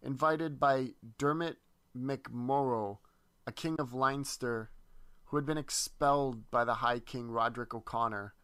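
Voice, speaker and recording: A man presenting a history lesson, reading a dense text aloud in a measured way with small slips and restarts. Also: mid-range voice, steady tone, fairly bright tone, clear, resonant tone, no room sound.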